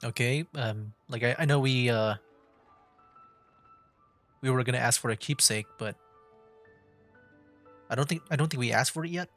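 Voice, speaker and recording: A man's voice: mid-range voice, normal speed, long pauses, a bit bright, soft background music. A man hosting a game livestream with casual commentary, musing aloud in a rambling way. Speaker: livestream gamer host.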